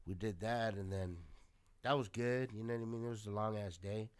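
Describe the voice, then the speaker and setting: A man's voice: lowish voice, steady tone, a little fast, clean tone. A man with a chest cold chatting casually on a podcast, laid-back and swearing a lot. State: congested. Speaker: podcast co-host. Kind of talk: casual chat.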